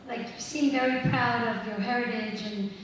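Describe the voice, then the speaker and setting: A woman's voice: on the high side, fairly even intonation, normal speed. Young woman's polite question in echoing hall. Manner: polite, slightly hesitant. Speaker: student in the audience asking a question. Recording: echoey hall from a distance, faint noise.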